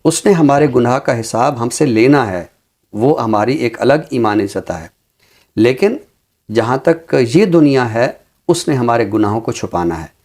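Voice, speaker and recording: A man with a medium-pitched voice speaks on a literary programme. His delivery is deliberate and reflective. He phrases things for emphasis, as someone used to reciting verse would. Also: animated, a little mellow. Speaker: literary talk show commentator. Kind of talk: reflective commentary and Urdu poetry recitation.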